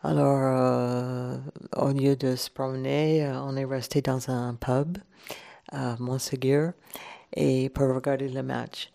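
Woman chatting in french on the radio, slow, flat, bit of vocal fry, lots of pauses looking for words. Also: extremely low pitch. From Ireland. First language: English. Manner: hesitant, searching for French words with many pauses. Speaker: radio guest. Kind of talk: relaxed radio chat.

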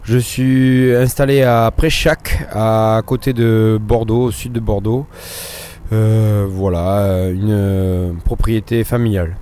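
A professional horse rider and dealer answering interview questions in a hesitant way, with many fillers. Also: male voice, fairly low pitch, fairly even intonation, takes it slowly, dark tone, slight background noise.

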